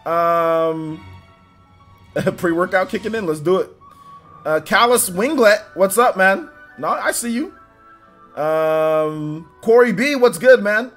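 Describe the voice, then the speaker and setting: A man hosting a casual livestream, rattling off upbeat shout-outs to viewers, with a slightly stuffy nose. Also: high voice, expressive, soft background music. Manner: upbeat, rapid shout-outs, laughs often. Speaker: livestream host. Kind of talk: casual livestream chat, reading out viewer names.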